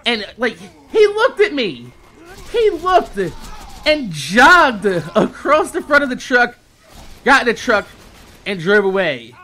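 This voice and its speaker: A man in his thirties telling a casual, exasperated story with heavy swearing, in the animated manner of a gaming YouTuber. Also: extremely high pitch, slow pace. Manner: animated, heavily profane, exasperated.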